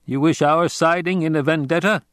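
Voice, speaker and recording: A man reading an audiobook, voicing a blunt, cryptic male desert tribesman who speaks slowly and asks direct questions. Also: a little high, fairly even intonation, fairly bright tone, no room sound, studio quality, pitched above the performer's own voice, lightly acted. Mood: stern, blunt. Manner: slow, measured, direct questioning. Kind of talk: audiobook character voice.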